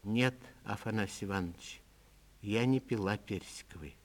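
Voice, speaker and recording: A man voicing a gentle, resigned old woman who speaks quietly and earnestly in a literary story. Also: lowish voice, moderate pace, natural voice. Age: older.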